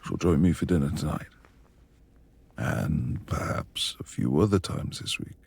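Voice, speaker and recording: A man playing a brooding, reclusive beast of a manor lord in a romantic ASMR roleplay, gruff yet tender, with gravelly, breathy speech and formal old-fashioned phrasing. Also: very deep voice, expressive, measured pace, darkish tone, exaggerated voice. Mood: tender, courteous.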